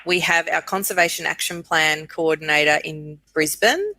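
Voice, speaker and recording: A woman's voice: low-pitched, normal speed, sharp tone, no room sound. An Australian woman being interviewed, explaining programs in an enthusiastic, conversational way. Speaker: guest, urban bird programs manager.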